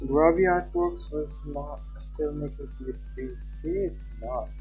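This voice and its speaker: A man's voice: fairly high pitch, a little slow, darkish tone, muffled recording, soft background music. A man livestreaming a game, chatting casually and reading visual novel text aloud. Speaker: live streamer.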